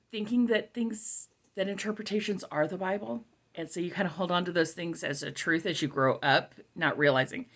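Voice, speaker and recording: A woman's voice: mid-range voice, fairly bright tone. A middle-aged woman giving a casual, conversational product review, repeating key phrases for emphasis.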